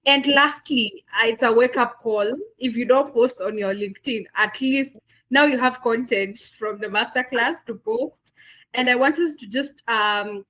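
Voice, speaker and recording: Kenyan lady wrapping up an online class, bubbly, kinda high voice, says uh a lot, on a video call. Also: a little slow, fairly bright tone, low-quality recording. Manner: upbeat, enthusiastic, lots of fillers and repeats. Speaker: session moderator. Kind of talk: closing remarks on an online masterclass.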